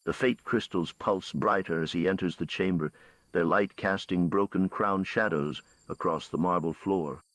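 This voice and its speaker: A male storyteller with a low voice reads a scripted bedtime story. His delivery is measured and dramatic. The recording is dry, with little room sound. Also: a bit bright, no room sound, low-quality recording.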